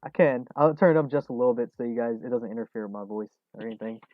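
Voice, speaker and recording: A man of about twenty talks loosely while reading chat questions on a trading stream, mid-pitched and relaxed, dropping in plenty of ums and little laughs. The sound is narrow and tinny, like a phone line. It is dry, with no room echo. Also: racing. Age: 20s. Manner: relaxed, chuckles often, many fillers. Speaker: stock trading livestream host. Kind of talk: casual livestream chat reading viewer questions.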